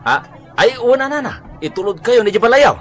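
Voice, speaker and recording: A man's voice: extremely high pitch, animated, a little fast. A male actor playing a sheepish, eager-to-please suitor in a radio comedy drama, stammering and effusive, with an Ilocano accent. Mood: eager, grateful.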